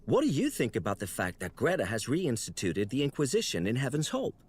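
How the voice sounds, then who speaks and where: Eager, animated young angel. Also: male voice, medium pitch, a little fast, slight background noise. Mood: polite. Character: young male apprentice angel, eager, cheeky and polite. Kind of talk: video game character dialogue.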